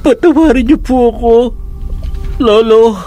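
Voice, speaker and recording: A frightened, guilt-ridden young boy, voiced by a woman, in a radio horror drama. He pleads and murmurs his fears in a hushed inner voice. A loud music bed plays behind him. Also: on the high side, a little slow, lightly acted. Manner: pleading, fearful inner monologue.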